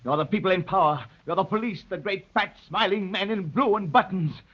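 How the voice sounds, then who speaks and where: An actor playing a bitter, defiant anarchist poet with a husky voice, declaiming scornfully in 1930s radio drama style. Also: male voice, sits high, brisk. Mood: accusing, anguished. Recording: muffled, lo-fi 1930s radio recording.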